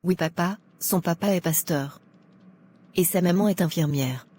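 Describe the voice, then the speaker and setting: A woman playing a sincere, polite young student in love in an animated drama. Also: on the low side, unhurried, a little hiss or hum, close to an everyday voice. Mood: pleading. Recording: music behind the voice.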